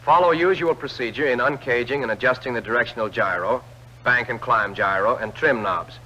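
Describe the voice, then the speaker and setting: A man reads scripted instructional narration for a 1940s military training film, clearly addressing the trainee directly. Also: medium pitch, brisk, pushed and bright. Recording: old film soundtrack, dull lo-fi band.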